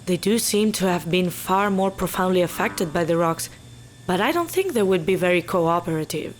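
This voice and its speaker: A woman playing a composed, measured female researcher with a concerned manner in an audio drama. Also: lowish voice, expressive, a little hiss or hum, lightly acted. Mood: measured, questioning.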